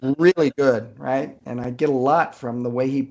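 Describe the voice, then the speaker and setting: A middle-aged man, an author being interviewed, telling things casually in an easygoing, halting way as he searches for words. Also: medium pitch, steady tone, darkish tone, dull audio. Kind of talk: casual conversational storytelling.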